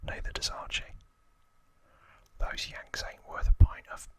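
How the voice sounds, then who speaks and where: A man's voice: a little slow, a bit bright, close to an everyday voice. A man voicing a boastful, threatening London gangster twin in a put-on Cockney accent, whispering his slangy lines from a game manual. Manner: threatening, slangy, self-important.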